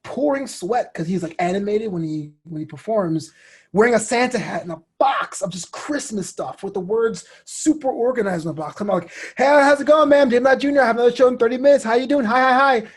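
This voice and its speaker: A man in his thirties telling stories as an interview guest, expressive and inclined to act out what people said. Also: sits high, slightly rough. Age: 30s. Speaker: guest, comedian and show host. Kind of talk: animated interview storytelling.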